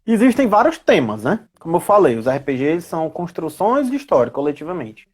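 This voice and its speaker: A man's voice: a little high, sing-song, brisk, darkish tone, breathy edge. Brazilian man explaining things casually. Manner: didactic, frequent tag questions. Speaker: guest expert on tabletop role-playing games. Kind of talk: casual explanatory live chat.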